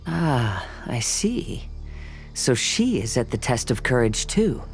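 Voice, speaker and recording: A man voicing a calm, polite, thoughtful young wanderer in a video game, with composed and measured phrasing. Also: medium pitch, creaky, soft background music, exaggerated voice. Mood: courteous, gentle. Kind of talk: video game character acting.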